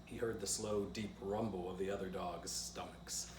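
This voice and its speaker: A man's voice: low voice, steady tone, moderate pace. Man reading to kids, roomy distant recording. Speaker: children's author reading and teaching drawing. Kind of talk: storybook read-aloud and casual drawing lesson. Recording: roomy, distant mic, faint background noise.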